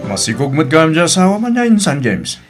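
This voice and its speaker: A man with an Ilocano accent voicing Manong Guryo, an older male cousin in a radio drama, lively and consoling. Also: on the high side, a little fast, soft background music. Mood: teasing.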